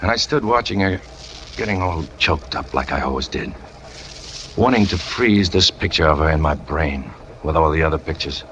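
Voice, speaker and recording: An American actor playing a brooding, lovesick trapeze artist turned killer, terse and hard-boiled, in 1940s radio noir style. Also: male voice, extremely low pitch, lively intonation, moderate pace, a bit bright, a little hiss or hum, low-quality recording. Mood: brooding confession. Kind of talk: 1940s radio noir acting, confessional narration. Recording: old 1940s radio recording with music.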